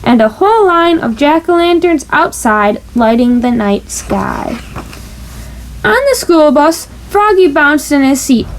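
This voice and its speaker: Female picture-book reader: high, very animated, unhurried, playful with sound-effect words. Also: a little hiss or hum. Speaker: storybook reader. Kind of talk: animated children's storybook read-aloud.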